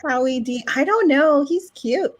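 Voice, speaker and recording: Unhurried, higher-voiced woman with lively pitch. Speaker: co-host of a group livestream. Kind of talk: casual group chat.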